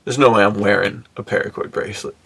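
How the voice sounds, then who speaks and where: A man's voice: fairly low pitch, steady tone, fast, gravelly, bright, forceful tone, breathy edge. A man co-hosting a podcast with jokey, slangy, casual banter. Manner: jokey, slangy, often chuckling. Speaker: podcast co-host.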